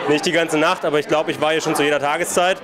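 A man's voice: fairly high pitch, fairly even intonation, racing. A man in his thirties from Berlin, chatting casually in an interview as a pub regular. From Berlin, Germany. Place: busy pub, indoors. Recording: loud bar crowd behind.

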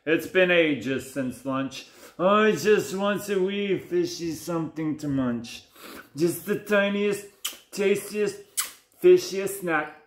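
A man playing a big greedy storybook shark, sly and sneering, with drawn-out mock-polite menace. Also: sits high, pitched above the performer's own voice. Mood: sly coaxing. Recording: echoey room.

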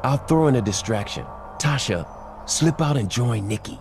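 Actor as tough male bodyguard, medium pitch. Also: expressive, normal speed, slight background noise, lightly acted. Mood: urgent. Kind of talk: video game cutscene voice acting.

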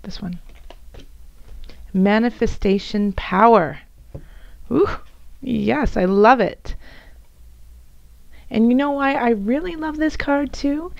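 Casting: female tarot reader, raspy and hoarse, gravelly edge, mellow tone, gentle unhurried address, dull home recording. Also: on the high side, no room sound. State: hoarse from bronchitis, voice coming and going. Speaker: tarot reader and channel host. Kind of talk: soft spoken tarot card reading to viewers.

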